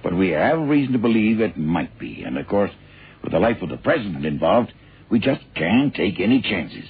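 Casting: urgent radio-play official, gravelly-husky man, lively intonation, tinny vintage sound. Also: low-pitched, normal speed, exaggerated voice. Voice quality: husky, rough. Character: worried, brisk male official briefing investigators. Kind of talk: 1970s radio crime drama acting. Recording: thin, band-limited old radio recording.

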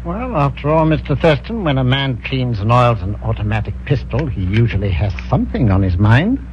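A wary army colonel gives earnest, measured warnings in a lively, rising-and-falling voice, played by a man. The old radio recording is muffled with faint hiss. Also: medium pitch, natural voice. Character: older male colonial army colonel, cautious. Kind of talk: 1950s radio drama acting.

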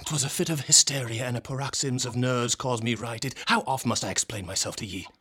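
A man's voice: mid-range voice, a little fast, bright, forceful tone, breathy edge. An actor playing a haughty, mad young man in a radio drama, speaking pompous, antiquated English. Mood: irritable, defiant.

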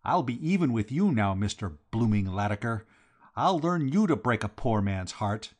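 A man's voice: fairly low pitch, sing-song, normal speed. A man voicing a brash, gloating working-class London man who drops his aitches in a storybook reading. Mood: gloating, accusing.

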